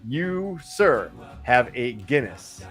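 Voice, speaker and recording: A man's voice: medium pitch, very animated, very slow, fairly bright tone, faint music behind. A man co-hosting a casual podcast chat, rambling with many fillers and restarts. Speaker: co-host.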